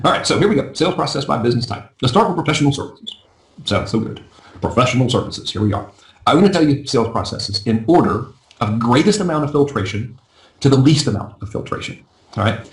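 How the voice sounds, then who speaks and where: A man coaching a live webinar in a chatty, self-deprecating way, his voice going a little hoarse. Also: medium pitch, animated, roomy sound, low-quality recording. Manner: fast, chatty, self-deprecating, often asks "right?". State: losing his voice. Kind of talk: casual live webinar teaching.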